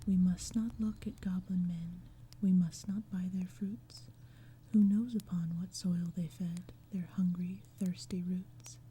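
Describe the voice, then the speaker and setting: A woman's voice: fairly low pitch, steady tone, measured pace, a little hiss or hum, natural voice. Woman whispering as an earnest young storybook maiden. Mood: cautioning. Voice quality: breathy whisper. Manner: hushed, earnest, lilting verse. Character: earnest young maidens in a fairy-tale poem.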